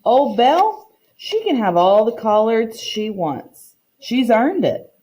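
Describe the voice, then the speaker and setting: Woman reading as a mellow, kindly old woman storyteller. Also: on the high side, big pitch swings, slow, pitched above the performer's own voice. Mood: fond, easygoing. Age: older. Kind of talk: children's picture book read-aloud.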